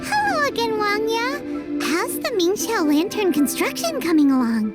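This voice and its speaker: Super high squeaky little game fairy, eager n perky, bouncy delivery, music playing. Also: female voice, big pitch swings, normal speed. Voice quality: squeaky, childlike. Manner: refers to self in third person, perky. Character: tiny childlike floating fairy companion, cheerful and eager. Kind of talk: video game voice acting.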